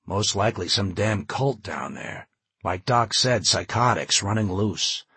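A grim male leader, voiced by a narrator reasons bitterly and coldly in audiobook dialogue. His voice is lowish and very husky, with forceful delivery and a dry, close sound. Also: unhurried, no room sound, heavily acted.

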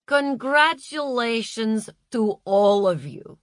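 A woman voicing a patronizing, serenely fanatical Russian female wellness guru in an audiobook, speaking with deliberate patience. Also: fairly high pitch, lively intonation, dry recording, clean studio sound, pitched above the performer's own voice. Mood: serene, congratulatory. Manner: slow, deliberate, patient condescension.

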